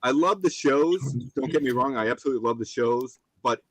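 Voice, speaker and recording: A man's voice: mid-range voice, a little fast, no room sound, poor audio. Easygoing man in his fifties. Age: 50s. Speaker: guest. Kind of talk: casual chat.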